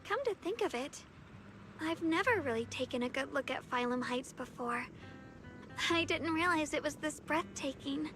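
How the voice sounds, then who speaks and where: An actress playing a sweet, caring young woman in an anime-style video game, speaking in a gentle, earnest and slightly hesitant way. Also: sits high, animated, moderate pace. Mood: tender, happy. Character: sweet, caring young woman who bakes, shyly wistful. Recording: background music under voice.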